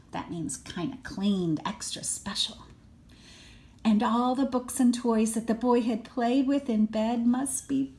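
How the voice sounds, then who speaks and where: Slow-paced older woman reading to children. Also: medium pitch. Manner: child-directed, dramatic emphasis, gasps and hushed asides. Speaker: grandmother reading a storybook. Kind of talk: expressive children's read-aloud with chatty asides.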